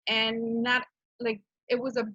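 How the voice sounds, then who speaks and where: A woman with a Honduran Spanish accent and a bright, fairly high voice talks earnestly on a video call. She restarts her sentences often. The audio is dull and dry. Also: monotone, a little slow, no room sound. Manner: earnest, many fillers and restarts. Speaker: program director of a nonprofit. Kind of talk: casual presentation on a video call. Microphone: video call microphone.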